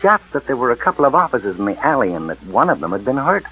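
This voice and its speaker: An overworked American male druggist, talkative but cautious, his mid-pitched voice moving up and down a lot. It is 1940s radio acting on a dull old recording. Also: very animated, fast talker, breathy edge. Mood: obliging, wary. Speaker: radio actor. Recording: muffled old radio broadcast.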